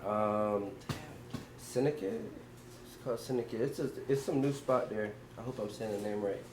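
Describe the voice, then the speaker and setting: A young man talks in a relaxed, slangy way, often dropping in "you know what I'm saying". His voice sits a little low and sounds mellow and soft-edged. He speaks as a guest artist in a casual interview. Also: moderate pace, poor audio.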